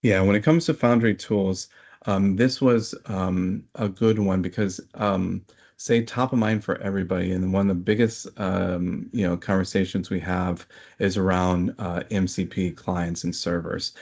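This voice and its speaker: A man explaining technical material as an interview guest, in a measured, hesitant manner. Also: sits low, steady tone, creaky, darkish tone.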